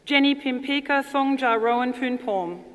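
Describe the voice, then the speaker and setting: A woman announcer formally reading out names at a ceremony in a measured manner. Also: sits high. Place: large ceremony hall. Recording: echoey hall with loud audience noise. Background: loud crowd noise.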